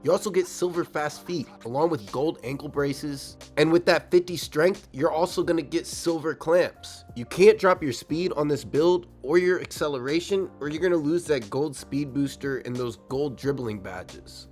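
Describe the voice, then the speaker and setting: A young man with a slightly raspy voice from a cold, casually breaking down a video game build for his viewers. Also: fairly high pitch, darkish tone. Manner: fast, casual, addresses viewers directly. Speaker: gaming YouTuber. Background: faint background music.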